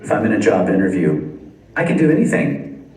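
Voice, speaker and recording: A young Canadian man performing a stand-up comedy set, delivering dry one-liners deadpan at a live venue. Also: on the low side, fairly quick. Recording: echoey venue from far off.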